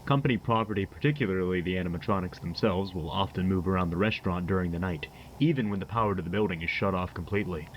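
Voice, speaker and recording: A man playing a calm, methodical paranormal investigator, speaking his case notes in a measured, matter-of-fact way with hesitant pauses. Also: sits low, lo-fi sound, a little hiss or hum, lightly acted. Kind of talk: found-footage horror monologue, spoken as case notes.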